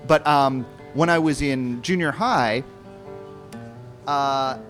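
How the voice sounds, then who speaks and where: A man's voice: medium pitch, slow pace, fairly bright tone. A man casually telling a rambling personal story, acting out bits of dialogue, with guitar music playing behind him.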